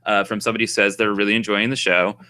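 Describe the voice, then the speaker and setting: A man's voice: fairly low pitch, level tone, very fast, background noise. A young man with a New England accent, co-hosting a casual podcast chat, rambling with lots of fillers and restarts. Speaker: podcast co-host.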